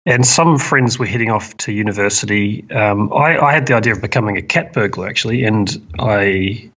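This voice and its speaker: A man's voice: fairly low pitch, brisk, a bit bright. A man with a New Zealand accent chatting as an interview guest, hesitant and thoughtful, often repeating words. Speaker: podcast guest, permaculture designer. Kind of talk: casual interview chat. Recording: dull lo-fi audio.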